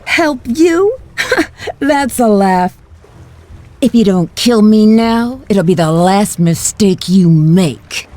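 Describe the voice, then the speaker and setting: An actress playing a flirtatious, cruel mercenary villainess in a video game, taunting and gloating theatrically. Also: female voice, medium pitch, animated, slow pace, mellow. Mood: mocking.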